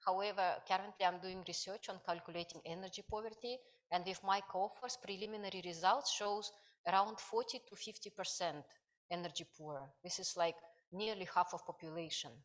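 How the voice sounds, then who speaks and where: A woman's voice: mid-range voice, fairly quick. A woman from Kazakhstan, presenting research at a conference, speaking hesitantly with many fillers. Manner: hesitant, many fillers, non-native grammar. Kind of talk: academic conference talk.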